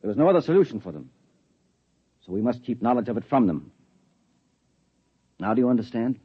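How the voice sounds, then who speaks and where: A cold, secretive husband on old radio. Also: male voice, mid-range voice, fairly quick, breathy edge. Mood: lecturing. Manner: calm, lecturing and patronizing. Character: controlled husband hiding a secret, a security man. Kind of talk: 1950s radio drama acting. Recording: dull lo-fi 1950s radio recording.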